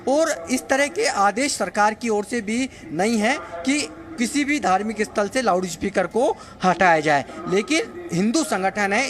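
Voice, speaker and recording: A man reporting live for the news from the street, speaking in a halting, repetitive way with frequent hesitations. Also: high voice. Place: busy street market, outdoors.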